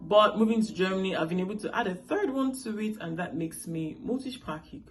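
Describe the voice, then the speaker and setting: A woman's voice: mid-range voice, fairly quick, faint music behind. A woman in her late twenties from Nigeria, with a Nigerian accent and Igbo as her first language, vlogging in a casual, chatty way and checking in with viewers often. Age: late 20s. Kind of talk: casual talk to camera.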